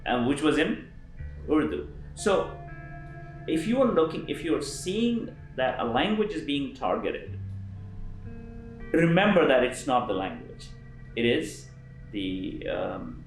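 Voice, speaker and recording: Man, mid-pitched, explanatory interview talk, roomy echo, faint music under. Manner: thoughtful, frequent ums and restarts, lively emphasis. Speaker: interviewed linguist.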